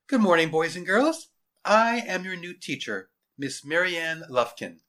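A man's voice: high-pitched, very animated, unhurried, sharp tone, voice pushed up, exaggerated voice. A man voicing a polite, composed woman schoolteacher in her thirties for a story. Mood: pleasant.

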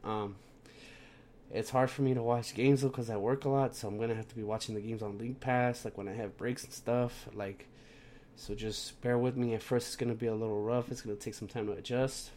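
A man's voice: medium pitch, fairly even intonation, a little fast, darkish tone, slight background noise. A young man hosting a casual sports podcast, sounding plugged up from a cold and rambling with lots of fillers and restarts. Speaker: podcast host.

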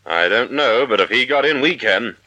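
An actor playing a confident, decisive amateur sleuth in 1940s radio drama style. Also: male voice, medium pitch, lively intonation, moderate pace, loud music behind the voice, natural voice, rough recording. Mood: determined. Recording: old radio drama recording.

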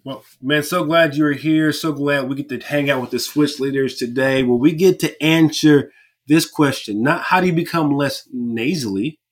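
A man hosting a casual podcast, stuffy-nosed, energetic and friendly as he asks questions. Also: a little high, moderate pace. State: stuffy nose. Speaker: podcast host.

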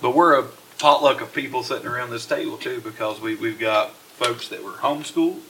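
A man's voice: medium pitch, sharp tone, a little hiss or hum, low-quality recording. A man hosting a podcast, chatting casually with guests and using many fillers. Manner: friendly, halting with fillers. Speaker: podcast host. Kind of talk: casual interview chat.

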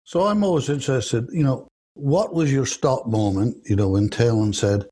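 A man hosts a business podcast, with a slightly husky, breathy voice at an ordinary pitch. He opens with a read intro and then asks rambling, loosely phrased questions. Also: moderate pace, mellow. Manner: long, loosely phrased questions full of "you know". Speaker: podcast host. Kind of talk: scripted intro, then conversational interview questions.

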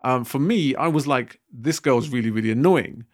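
A man's voice: medium pitch, normal speed. A young man co-hosting a casual podcast discussion, thinking aloud and circling back with many restarts and fillers. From Britain. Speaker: podcast co-host.

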